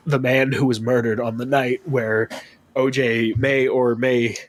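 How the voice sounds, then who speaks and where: A man with a stuffy nose, chatting on a podcast about his tabletop characters, animated and full of swearing. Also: medium pitch. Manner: animated storytelling, swearing, quick asides. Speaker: podcast guest. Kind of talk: casual chat about tabletop gaming.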